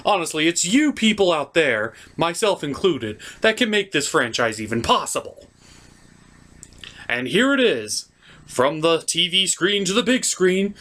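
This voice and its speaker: Male comic review host: slow, dramatic delivery, heavy emphasis, very lively intonation. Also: high-pitched. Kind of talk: theatrical scripted review narration.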